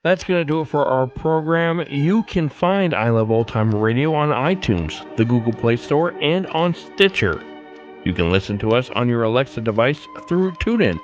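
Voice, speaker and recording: A man of average pitch hosts a podcast over faint music. He delivers scripted commentary and sign-off announcements. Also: animated, normal speed, muffled recording. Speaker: podcast host.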